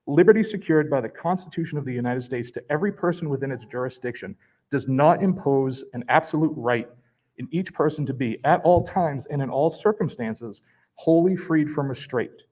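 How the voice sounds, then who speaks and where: Measured man making a public comment. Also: a little high, darkish tone. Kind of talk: public comment, reading a quote.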